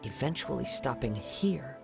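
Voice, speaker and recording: A young swordsman investigator from a video game, calm and observant. His voice has a gravelly edge and a medium pitch, and he reasons aloud in formal, deliberate sentences, with faint music behind. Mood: calm, serious. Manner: composed, deliberate, formal phrasing. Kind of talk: English video game voice acting.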